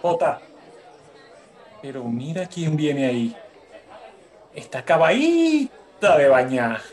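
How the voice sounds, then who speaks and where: A naive, worried young male pupil in a radio soap, acted by a man. His voice sits a little high, with a crowd murmuring behind him. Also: big pitch swings, slow pace. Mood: distracted. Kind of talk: Spanish-language radio soap acting.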